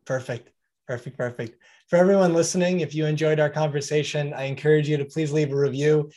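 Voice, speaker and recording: Man, podcast host, slightly high voice, encouraging, upbeat wrap-up talk. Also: fairly even intonation, brisk, warm tone. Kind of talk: casual podcast interview.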